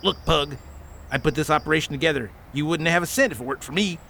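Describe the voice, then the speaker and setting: An actor playing a scheming, bossy leader of a counterfeiting gang in a western radio drama, curt in manner. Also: male voice, fairly high pitch, fast talker, vocal fry, bright, forceful tone. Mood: bossy, dismissive. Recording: faint outdoor ambience and noise.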